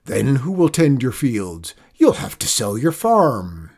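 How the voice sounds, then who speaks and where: A storyteller voicing an angry, gloomy farmer who shouts alarmist predictions of disaster in a children's tale. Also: medium pitch, sing-song, a little slow, gravelly, breathy edge, exaggerated voice. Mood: alarmed.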